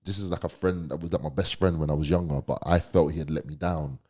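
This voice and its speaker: Deep-voiced London man telling his story. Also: fairly even intonation, quick pace, darkish tone. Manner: slangy, conversational, emphatic. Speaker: podcast guest. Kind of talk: candid storytelling.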